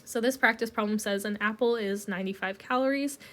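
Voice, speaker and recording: A woman's voice: mid-range voice, a little fast. A woman teaching a recorded chemistry lecture while getting over a cold, talking through each step with plenty of ums. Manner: relaxed, explains step by step with many ums. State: recovering from a cold.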